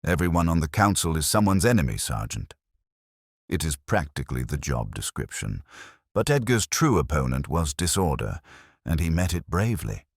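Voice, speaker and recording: A man's voice: extremely low pitch, sing-song, normal speed, gravelly, no echo, clean studio sound, full character voice. A man voicing a rigid, dignified, guarded widow in a mystery story, with level, clipped, formal speech. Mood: humourless.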